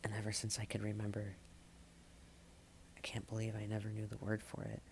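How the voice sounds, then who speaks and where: A man performing spoken-word slam poetry in a halting manner, with dramatic pauses, repeated phrases and hushed endings. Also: lowish voice, monotone, vocal fry, slight background noise. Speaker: slam poet.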